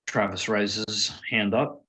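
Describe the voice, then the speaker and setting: A man's voice: lowish voice, steady tone, slow, sharp tone, low-quality recording. A man narrating stage directions from a script at a table read.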